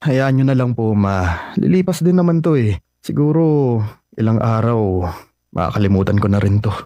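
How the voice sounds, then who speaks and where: Guy doing a sad young son, low-key and polite, mellow voice, dry sound. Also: expressive, normal speed, no room sound, highs cut off, natural voice. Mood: downcast. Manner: subdued, polite, downcast. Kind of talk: radio drama acting.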